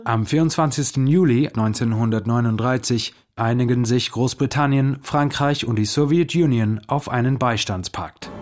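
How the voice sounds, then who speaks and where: A man narrating a scripted historical documentary in a measured, factual manner. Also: medium pitch, darkish tone. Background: music.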